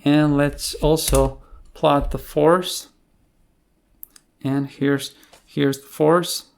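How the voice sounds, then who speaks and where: A man presenting an unscripted screencast coding tutorial in a hesitant, halting way, with pauses between phrases. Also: fairly high pitch, flat delivery, creaky, a little mellow.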